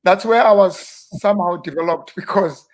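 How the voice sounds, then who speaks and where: A South African man in his fifties talks about the past at an easy, wandering pace. He often stops on fillers and restarts his sentences, and his voice sits a little high for a man. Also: low-quality recording. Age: 50s. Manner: unhurried, rambling, with frequent fillers and restarts. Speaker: interview guest, minister. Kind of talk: relaxed reminiscing interview talk.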